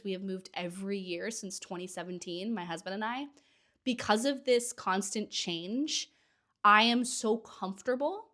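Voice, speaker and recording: A woman's voice: medium pitch, a bit bright. Woman in her thirties, podcast host, talking casually and eagerly, still a bit worn from a cold, mid-range voice. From Southern California. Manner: chatty, enthusiastic, with short laughs. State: getting over a cold. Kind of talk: casual solo podcast monologue.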